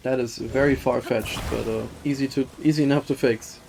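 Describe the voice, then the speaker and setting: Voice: medium pitch, steady tone, brisk, creaky, darkish tone, a little hiss or hum. A streamer giving casual, dry and wry live commentary while playing a game and reading its dialogue aloud. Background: faint game music.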